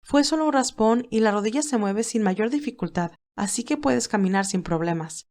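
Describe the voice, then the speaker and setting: A woman voicing a cheerful, caring young female nurse in an audio storybook, lively and tender. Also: a little high, moderate pace. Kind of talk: audio storybook character dialogue.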